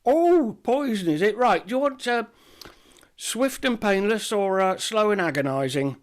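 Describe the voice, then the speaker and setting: A man's voice: high voice, lively intonation, unhurried, pitched above the performer's own voice. A man voicing a fussy, chatty male apothecary who cheerfully sells poisons with eager sales patter. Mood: chirpy, salesy.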